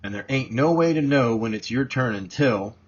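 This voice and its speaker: A man voicing a resigned, plain-spoken country fellow in an audiobook, husky and matter-of-fact. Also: medium pitch, expressive, moderate pace, voice pushed up, lightly acted. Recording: dull, muffled lo-fi recording.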